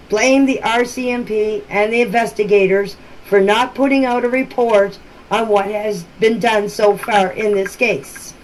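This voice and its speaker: A woman giving informal explanations in voice messages, speaking in a plain, deliberate, matter-of-fact way. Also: medium pitch. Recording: noisy amateur voice-message recording.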